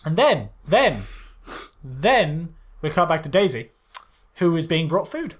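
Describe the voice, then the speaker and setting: A man's voice: on the high side, big pitch swings, slow pace, a bit bright. A man chatting on a casual film podcast. He rambles loosely, agrees often and fills the gaps with lots of fillers. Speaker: podcast co-host. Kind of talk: casual film review chat.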